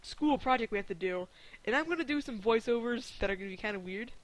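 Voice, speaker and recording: A teenage boy hosting a casual multiplayer game session, talking in playful, whiny bursts that jump to yelling. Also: very high voice, lively intonation, quick pace, a little hiss or hum, low-quality recording. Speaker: gaming channel host. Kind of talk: casual multiplayer gaming chat.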